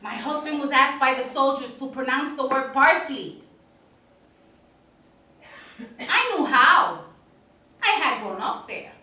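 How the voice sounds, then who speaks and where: Woman acting slow, high-voiced elderly widow, echoey. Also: lively intonation. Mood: anguished. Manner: slow, deliberate, hesitant storytelling with dramatic pauses. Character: elderly Dominican widow, lonely, courteous, haunted immigrant storyteller. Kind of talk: theatrical stage monologue. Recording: echoey room, distant mic, faint noise.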